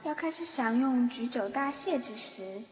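A woman's voice: fairly high pitch, animated, moderate pace. A woman reading scripted narration for a fantasy radio drama. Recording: long reverb, music underneath. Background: background music.

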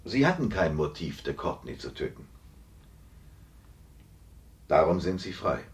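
An actor in a radio play playing an authoritative police investigator, speaking in measured, deliberate phrases. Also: male voice, sits low, sing-song, vocal fry, far from the mic, heavily acted. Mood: controlled, frank. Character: calm, authoritative police investigator confronting a suspect he freed. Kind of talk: German radio play acting.

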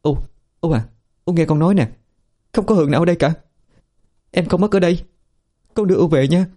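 A man voicing a gentle young woman who coaxes her mother softly. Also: on the high side, brisk, voice pushed up, close to an everyday voice. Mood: coaxing. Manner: soothing, coaxing.

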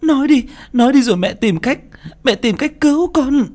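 A man voicing a desperate elderly mother in an audio story, pleading in a high, strained, wailing voice. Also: lively intonation, normal speed, a little mellow. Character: desperate weeping old mother.

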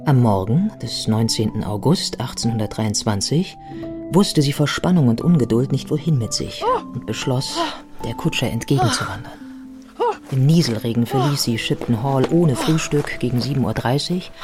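An actress playing a thoughtful modern biographer, giving measured, articulate literary narration in a radio play. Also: female voice, extremely low pitch, expressive, over music. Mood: vivid narration.

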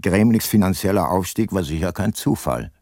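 An actor playing a brooding, probing private detective, narrating his case in a measured way in a radio crime drama. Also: deep voice, lively intonation, dark tone, no room sound, clean studio sound. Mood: brooding, reflective.

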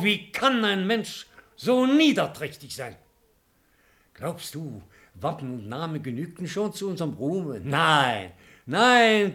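A man's voice: high-pitched, fairly bright tone, full character voice. An actor playing a stern, outraged old nobleman father in a classical radio play, solemn and declamatory.

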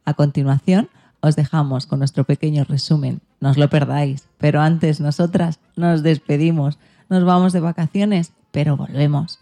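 A woman's voice: sits low, fairly even intonation, normal speed, dark tone. A woman with an accent from Spain presenting a podcast in a scripted style as its host.

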